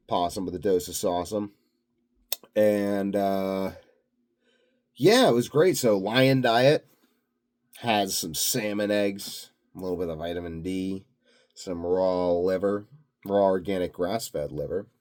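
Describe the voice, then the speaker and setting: Sleepy guy w a low voice doing a rambly vlog, dry and jokingly grandiose. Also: a little mellow. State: tired, sleepy. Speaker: vlogger, channel host. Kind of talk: rambling unscripted video diary.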